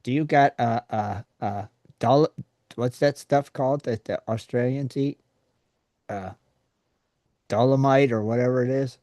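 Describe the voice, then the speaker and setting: A man's voice: mid-range voice, measured pace, pauses often, dark tone, no room sound, clean studio sound. A man in his sixties hosting a live online radio chat, joking and laughing often. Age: 60s. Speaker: radio show host.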